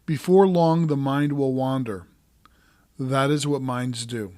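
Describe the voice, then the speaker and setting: A man narrating a guided meditation as a coach, giving calm, gentle instructions in short, measured phrases. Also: mid-range voice, clear, resonant tone, no echo, clean studio sound.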